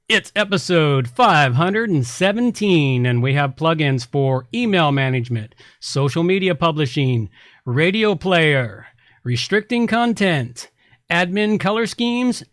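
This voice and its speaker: A man's voice: fairly high pitch, a bit bright, dry recording, studio quality. A middle-aged man from Canada presenting a podcast as its host in an unscripted style.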